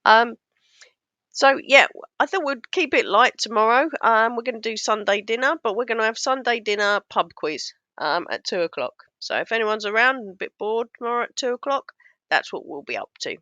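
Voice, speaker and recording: A woman talks through a clip in casual, sarcastic reaction commentary, her voice a bit hoarse from losing it. The sound is dry and slightly dull, with no room around it. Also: a little high, expressive, moderate pace, bright, forceful tone, no echo. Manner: scornful, sarcastic, mocking. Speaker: YouTube commentator.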